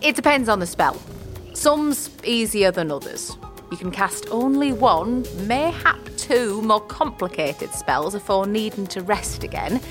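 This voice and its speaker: A woman's voice: medium pitch, sing-song, unhurried, a bit bright, soft background music. A woman playing a crotchety but kindly old hedge witch in a fantasy audio drama, teasing and speaking in folksy rustic dialect. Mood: instructive.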